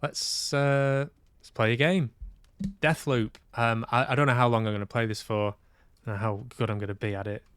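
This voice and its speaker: A man's voice: mid-range voice, fairly even intonation, moderate pace, a bit bright, clean tone, no room sound. A man chatting casually as he hosts a livestream, hesitant and musing aloud to himself. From Manchester, UK. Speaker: livestream host. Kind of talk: casual livestream chat while playing games.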